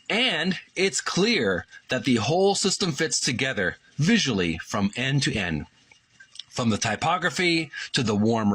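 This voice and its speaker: A man's voice: medium pitch, a bit bright, slightly rough. A man hosting a channel, reading passages from a book aloud and adding his own remarks, sometimes stumbling and correcting himself as he goes.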